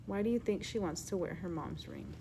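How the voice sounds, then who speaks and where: A woman reading a children's story aloud in an expressive, teacherly way, pausing to explain words and ask questions. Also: on the low side, creaky, slight background noise. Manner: animated, emphatic reading with hushed asides.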